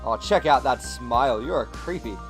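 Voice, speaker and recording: A man playing through a story game, reading it aloud and dropping wry, playful jokes. His voice sits at an average male pitch, with faint music underneath. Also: lively intonation, moderate pace. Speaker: let's play host.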